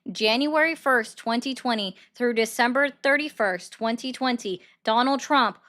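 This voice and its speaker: A woman's voice: fairly high pitch, fairly bright tone. A woman hosting a political call-in livestream, arguing bluntly with callers and mocking them. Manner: blunt, argumentative, quick to mock. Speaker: livestream host taking callers.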